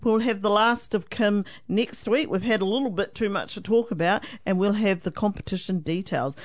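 A woman's voice: medium pitch, moderate pace. A New woman co-hosting a radio show, chatting in a friendly, chuckling way. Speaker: radio co-host.

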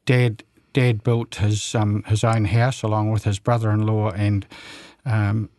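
A man's voice: on the low side, flat delivery, a little slow, a little mellow, dry recording. A man around seventy from New Zealand, a radio guest talking casually with many fillers and restarts.

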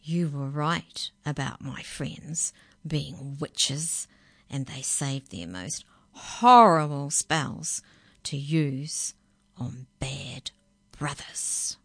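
Knowing old grandma, soft murmur, read by a woman. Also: low-pitched, expressive, very slow, breathy edge, no echo, heavily acted. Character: warm grandmother, knowing and sly.

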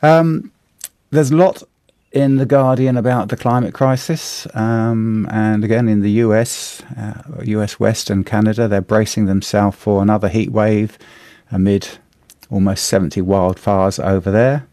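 Halting New Zealand man, low dry voice. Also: steady tone, warm tone, dry recording. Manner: halting, lots of fillers and restarts. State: a bit hoarse. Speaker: radio host. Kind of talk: casual radio chat reviewing the newspaper.

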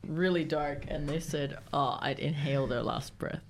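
A woman's voice: low-pitched, moderate pace, a little hiss or hum. A young woman co-hosting a radio show, chatting casually and teasing the others. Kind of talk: casual banter.

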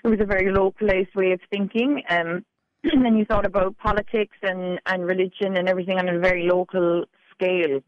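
A woman's voice: mid-range voice, steady tone, brisk, no echo, muffled recording. A woman with a Cork Irish accent, a poet talking casually in a radio interview, full of "like" and "you know". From Ireland. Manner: chatty, many "like"s and "you know"s. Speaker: poet guest.

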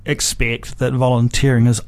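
A man hosting a conversational interview, phrasing his questions in a measured, reflective way. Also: medium pitch, little pitch movement, a little mellow. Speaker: radio show host.

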